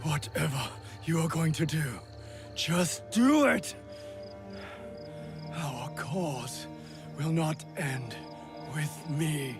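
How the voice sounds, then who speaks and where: An intense, bitter warrior in a video game. His voice is on the high side for a man and very drawn out, every phrase defiant. Music is clearly heard behind him. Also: lively intonation, very slow, long pauses, heavily acted. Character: bitter, defiant defeated samurai, once a dear friend. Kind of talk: video game cutscene acting.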